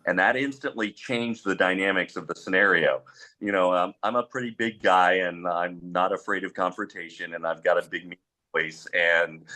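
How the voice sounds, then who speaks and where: A middle-aged man with a very low voice that still cuts through with a bright, forceful edge. He speaks as a panelist drawing on his own experience, pausing as he goes and stating his views firmly. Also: poor audio. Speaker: panelist, former police officer.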